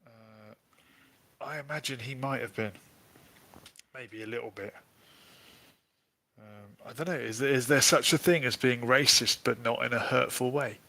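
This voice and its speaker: A man's voice: mid-range voice, steady tone, normal speed, creaky, pauses often, bright, forceful tone, dry recording. A man hosting a casual live stream over a video call, with jokey, wry asides. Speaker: host.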